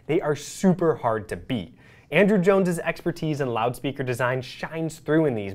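A man in his thirties presenting a scripted product review to camera from a studio, polished and upbeat. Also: a little high. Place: studio with speakers behind.

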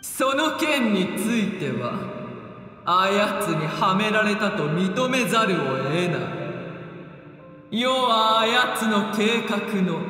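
An actor playing an ancient, proud and weary dragon in a video game, speaking solemn, archaic, grandiose lines through cavernous reverb. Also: male voice, high voice, animated, takes it slowly, bright, forceful tone, recorded from a distance, heavily acted. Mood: weary, grave. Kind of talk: dramatic video game voice acting. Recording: long cavernous reverb, music underneath.

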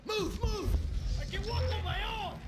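A man playing a defiant, wounded VIP in an action video game, shouting indignantly. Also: very high-pitched, normal speed, over loud music. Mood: defiant, angry. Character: defiant, angry wounded male VIP being rescued. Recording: very noisy action game mix.

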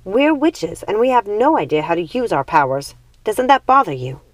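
A woman's voice: mid-range voice, big pitch swings, normal speed, dry recording, voice pushed up, lightly acted. A woman voicing a restless, frustrated young witch daughter in a storybook, earnest and insistent. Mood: earnest pleading.

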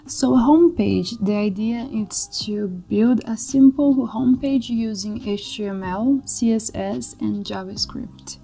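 A young woman from Brazil with a Brazilian Portuguese accent, a native Portuguese speaker, walking through her code on a screen share as a tutor in a relaxed, halting way with many fillers. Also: fairly high pitch, creaky, soft background music. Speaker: coding tutor. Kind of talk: screen-share coding walkthrough. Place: indoor room.

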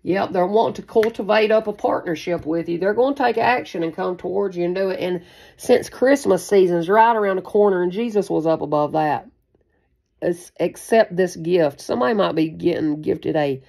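A woman's voice: on the low side, normal speed, vocal fry, mellow. A woman giving a casual, improvised tarot reading in a rambling, repetitive, folksy way, swearing now and then. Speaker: tarot reader hosting a spiritual channel. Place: small indoor room at a card table.

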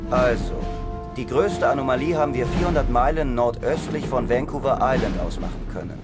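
A cool, condescending officer, voiced by a man, steering a secret operation with clipped orders. His voice sits at an ordinary male pitch. A loud music score runs under this audio drama. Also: expressive, a little fast, close to an everyday voice. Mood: commanding. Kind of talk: radio drama acting.

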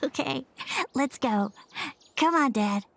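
A woman's voice: sits high, takes it slowly, gravelly, slight background noise, full character voice. Woman voicing an earnest young boy. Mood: warm. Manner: soft, earnest and sincere. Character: earnest young boy, shy storyteller's son. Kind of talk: video game voice acting.

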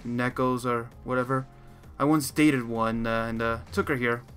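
A man's voice: mid-range voice, steady tone, normal speed, fairly bright tone, natural voice. A man playing a confident, wry werewolf teacher in an improvised Minecraft roleplay. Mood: wry, reminiscing. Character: male werewolf mentor, confident, wry, running training games. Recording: music behind the voice.

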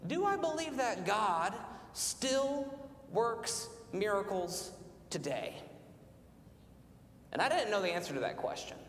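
High-voiced American man preaching in echoey church. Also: very animated, slow pace, pauses often, fairly bright tone. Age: late 30s. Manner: informal, self-deprecating, rhetorical questions to congregation. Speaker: pastor. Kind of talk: conversational sermon with personal testimony. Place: large church sanctuary, speaking from the front. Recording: echoey church hall, faint rumbling noise.

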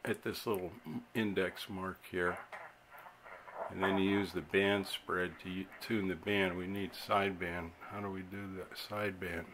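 A man's voice: low-pitched, little pitch movement, unhurried, background noise. A man narrating a casual hands-on demonstration as a hobbyist, unscripted and laid-back. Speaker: radio hobbyist host. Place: indoor home radio workbench.

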